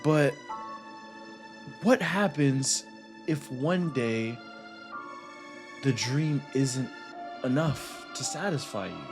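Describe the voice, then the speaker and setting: A young man giving casual, pensive reaction commentary on a game story, halting and repeating words as he thinks. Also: medium pitch, lively intonation. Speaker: gaming streamer reacting to story. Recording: music behind.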